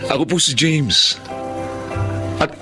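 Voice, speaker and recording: A man's voice: a little high, takes it slowly. A man playing an earnest, devoted husband and father in a Filipino radio drama, heartfelt and melodramatic. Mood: solemn, grateful. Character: James, earnest husband and father working abroad. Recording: music bed behind voice.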